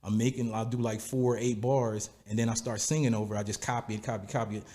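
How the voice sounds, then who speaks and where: A raspy-voiced man, a music producer and singer, telling stories in a relaxed, rambling way in a podcast interview. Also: medium pitch, fast talker. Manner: relaxed, rambling, repeats "you know what I'm saying". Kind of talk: casual interview storytelling.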